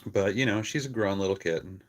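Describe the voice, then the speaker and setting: A man with a low-pitched voice hosts an easygoing livestream about his animals. He talks in a casual, conversational way, with an affectionate streak of pet talk. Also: a little fast. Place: on a farm.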